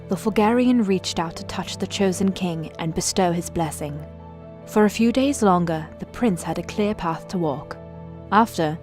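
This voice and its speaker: A woman's voice: fairly low pitch, darkish tone, lightly acted. A woman playing a solemn storyteller, narrating a fantasy audio drama in a literary manner. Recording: music clearly behind the voice.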